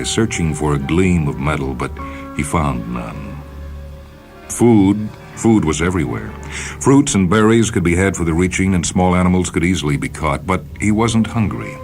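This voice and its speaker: A man reading a short story as a dramatic, measured and expressive narration. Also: sits low. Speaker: reader of short stories for a radio program. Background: music.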